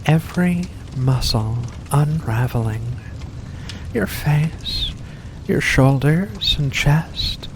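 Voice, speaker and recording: A woman's voice: deep voice, noisy background. A woman voicing an ancient, seductive furry vampire in an ASMR roleplay, coaxing and genteel with veiled menace. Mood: coaxing, curious. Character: ancient predatory vampire with furry paws, seductive, faux-kindly. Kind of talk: ASMR roleplay addressed to the listener.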